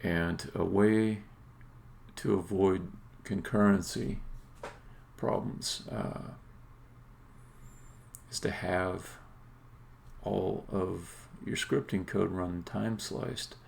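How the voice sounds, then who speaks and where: Middle-aged male developer-streamer, heavy vocal fry, unhurried pace, casual but opinionated delivery with emphatic stresses. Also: low voice, fairly even intonation, darkish tone, slight background noise. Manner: rambling, opinionated, halting then emphatic. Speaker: indie game developer, streamer. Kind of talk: casual live-coding commentary.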